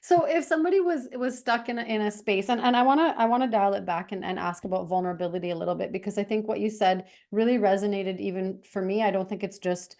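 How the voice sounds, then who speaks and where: A woman's voice: medium pitch, quick pace, hardly any pauses, darkish tone. A woman from Canada hosting an interview, speaking in an earnest, reflective way with frequent restarts. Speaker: host. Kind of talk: conversational interview.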